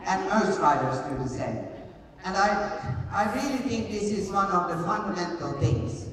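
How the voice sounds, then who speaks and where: A woman's voice: mid-range voice, expressive, a little slow. A middle-aged woman working as a riding coach, explaining and demonstrating in a hands-on way at a live clinic. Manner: hands-on, explanatory, repeating short commands. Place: large indoor riding arena. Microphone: picked up from far camera in audience. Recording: distant, very echoey arena, background noise.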